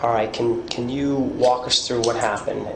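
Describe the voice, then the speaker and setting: An American man questioning a suspect as a police interrogator, with procedural, halting questions. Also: medium pitch, fairly even intonation, vocal fry. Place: indoor police interview room. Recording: echoey, noisy interrogation room audio.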